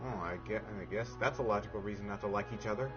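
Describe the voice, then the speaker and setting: A man's voice: fairly low pitch, racing. A man giving casual live commentary as he plays a video game. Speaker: game streamer. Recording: dull audio, clear game music behind.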